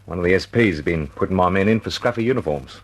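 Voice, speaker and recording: An actor playing a dutiful, capable air force flight sergeant in an old-time radio drama, husky and plain-spoken, deferential to his officer. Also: low-pitched, lively intonation, fast. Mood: dutiful, matter-of-fact.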